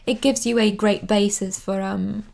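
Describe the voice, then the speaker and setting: Woman around 30, english not her first language, talks real slow, lots of ums, repeats stuff to make a point. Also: medium pitch, flat delivery, vocal fry, a little mellow. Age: 30s. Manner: hesitant, many ums and restarts; earnest, repeats words for emphasis. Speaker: vlogger. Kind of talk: casual advice talk to camera. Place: living in London.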